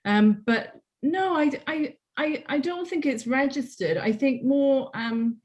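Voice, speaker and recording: A woman's voice: high voice, expressive, takes it slowly, darkish tone. A woman from Wales with a mixed transatlantic accent, speaking as a panelist over a video call, chatty and informal with lots of "like" and "you know". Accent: transatlantic Welsh. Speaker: panelist and writer. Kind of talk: panel discussion, casual.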